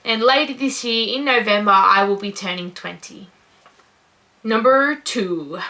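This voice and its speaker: A woman's voice: medium pitch, measured pace, bright, forceful tone, poor audio. A nineteen-year-old Australian woman in her first vlog, talking casually to camera in an upbeat, gushing way. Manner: upbeat, gushing, says "incredible" and "you know" often. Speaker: vlogger.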